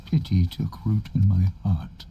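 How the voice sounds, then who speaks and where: Slow, gravelly ancient forest guardian. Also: male voice, low-pitched, flat delivery, muffled recording, heavy background noise. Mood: mournful, grave. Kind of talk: fantasy game voice acting.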